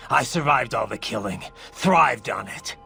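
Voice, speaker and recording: Brooding cyborg warrior, gritty voice, mid pitch, grim, sardonic, video game. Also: male voice, animated, measured pace, pushed and bright, soft background music, exaggerated voice. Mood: grim, defiant. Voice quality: gravelly. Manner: terse, grim, defiant. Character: brooding male cyborg soldier, tough, sardonic, haunted by violent past. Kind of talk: video game cutscene acting.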